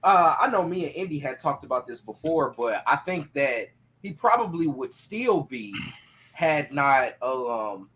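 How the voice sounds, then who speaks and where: A man chatting casually on a podcast panel in an animated, slangy, profane way. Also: on the high side, unhurried, poor audio.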